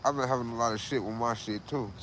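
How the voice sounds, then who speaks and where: A man's voice: mid-range voice, fairly even intonation, fast talker, noisy background. A man in his late thirties hosting a casual livestream, talking loosely and profanely, repeating himself and saying "bro" a lot. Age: 30s. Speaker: livestream host.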